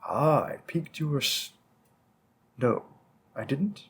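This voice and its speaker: A man's voice: mid-range voice, big pitch swings, creaky, slightly rough, full character voice. A man playing a patient, bookish vampire bookseller with a hint of menace, musing hesitantly in a conversational roleplay audio drama. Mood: musing, keen. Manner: musing, hesitant, deliberate, with frequent pauses.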